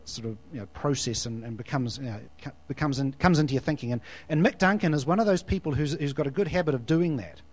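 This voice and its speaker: A man's voice: medium pitch, fast, few pauses. A New Zealand man in his forties, a guest preacher in church, who talks in a hesitant, self-deprecating way and often restarts his phrases. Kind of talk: church interview and sermon.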